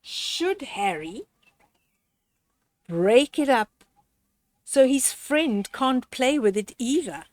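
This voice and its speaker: An older woman reading a children's story aloud in an expressive, gently instructive way, addressing the child listener directly with deliberate pauses. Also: high-pitched, dry recording.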